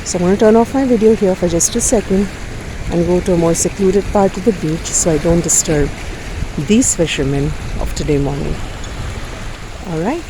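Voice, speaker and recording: A woman telling a folktale outdoors in an expressive, repetitive storytelling manner. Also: fairly low pitch, normal speed, noisy background. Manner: expressive, repetitive, sound effects. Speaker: storyteller, host. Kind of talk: oral folktale storytelling to camera. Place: outdoors on a beach.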